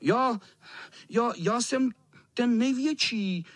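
Performer playing small boys, raised pitch, pleading, shouted protests, plucky, storybook characters. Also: no echo, close to an everyday voice. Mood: brave. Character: group of frightened, plucky little boys.

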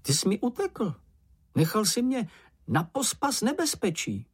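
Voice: mid-range voice, very animated, slightly rough, voice pushed up. A narrator voicing a small, timid male animal who frets and complains in a frightened, whiny manner. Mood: panicky, reproachful. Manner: fretful, anxious, complaining.

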